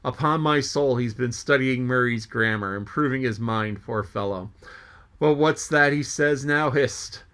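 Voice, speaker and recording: A man's voice: medium pitch, a little slow, a bit bright, smooth, natural voice. A man reading aloud as a jolly, joking sea mate who rambles and exclaims to himself. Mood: musing.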